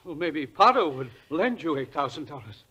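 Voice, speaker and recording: A man's voice: a little high, very animated, moderate pace, bright, forceful tone, breathy edge, muffled recording, heavily acted. An actor playing a befuddled, pleading older man in a 1940s radio drama. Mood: timid.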